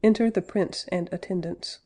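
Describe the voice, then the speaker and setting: Mellow narrator reading stage directions. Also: mid-range voice, steady tone, normal speed.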